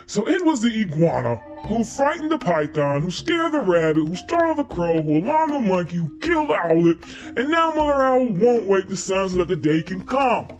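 Woman playing a deep, commanding lion king. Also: big pitch swings, normal speed, a little mellow, soft background music, natural voice. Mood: solemn, proclaiming. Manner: solemn, declamatory, repetitive cadence. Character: grave, commanding male lion king judging animals. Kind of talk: storybook character reading.

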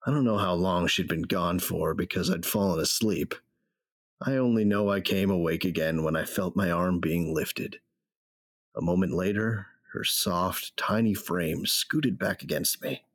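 Actor as a deep-voiced, grieving, brooding man. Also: a little slow. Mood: grieving, reflective. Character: grieving big man, tender, weary lover and narrator. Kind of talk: dramatic first-person audio drama narration. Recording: music under the voice.

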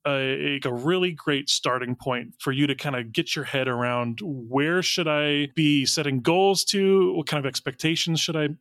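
A man's voice: fairly high pitch, moderate pace, few pauses, fairly bright tone, dry recording, studio quality. A man speaking earnestly and candidly as a guest in a reflective interview about his creative work. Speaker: guest, audio drama writer and director.

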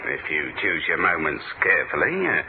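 Very deep-voiced, dry British spy. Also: male voice, normal speed, vocal fry, narrow, tinny audio, slight background noise, full character voice. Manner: dry, deadpan, guarded. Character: world-weary, wry British spy, narrating his adventure. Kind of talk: 1970s radio spy drama narration. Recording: thin old radio recording, loud music.

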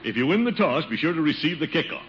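An actor playing a pompous, doting middle-aged uncle in a 1950s radio sitcom, blustery and theatrical. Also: male voice, a little high, very animated, fast. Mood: proud, blustery. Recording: dull, hissy 1950 radio recording.

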